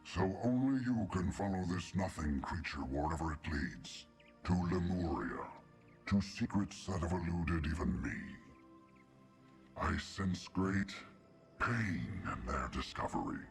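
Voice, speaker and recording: An actor voicing an ancient, solemn, all-seeing being in a fantasy game, gravelly and portentous, with deliberate delivery. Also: male voice, low voice, lively intonation. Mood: solemn, foreboding. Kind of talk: scripted fantasy game dialogue. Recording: game audio over background music.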